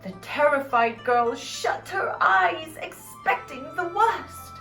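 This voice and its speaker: A woman narrating a dramatised story in an expressive, theatrical way. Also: sits high, slow pace, creaky, distant mic. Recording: echoey room, off mic, music behind.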